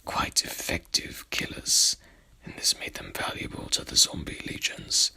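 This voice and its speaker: A man playing a courtly, haughty headless horseman in a whispered ASMR roleplay, speaking with pompous, archaic formality in a breathy, gravelly voice. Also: measured pace, sharp tone. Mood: rambling, calm.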